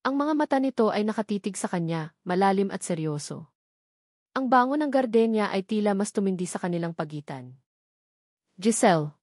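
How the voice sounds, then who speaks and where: Female Filipino storyteller, mid-range pitch, scripted dramatic audiobook narration, very dry sound. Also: lively intonation, fairly quick, darkish tone, no room sound.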